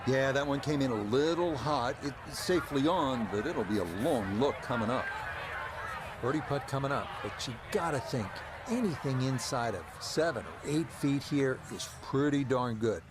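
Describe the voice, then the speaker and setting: A man's voice: mid-range voice, animated, moderate pace, noisy background, lightly acted. A man playing a composed TV golf announcer, giving broadcast play-by-play in a video game. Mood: composed, conversational.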